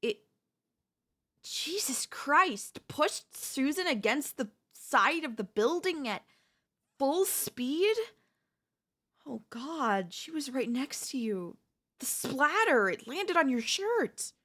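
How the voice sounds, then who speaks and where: Woman acting a slow-speaking, doting yandere wife. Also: high-pitched, sing-song, fairly bright tone, heavily acted. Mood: disbelieving, horrified. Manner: breathy, hesitant stammers, tender coaxing. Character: doting yandere wife, anxious, soothing, quietly controlling. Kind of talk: ASMR audio roleplay, intimate acted monologue.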